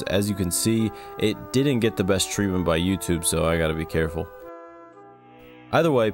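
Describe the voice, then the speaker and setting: A man narrating a scripted video essay in a measured way with wry asides, as the host of an analysis channel. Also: low-pitched, darkish tone.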